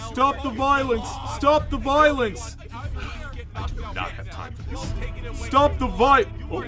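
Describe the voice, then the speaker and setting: A man voicing an exasperated male show host who scolds and shouts over chaos. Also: very high voice, wildly swooping pitch, takes it slowly, music in the background, full character voice.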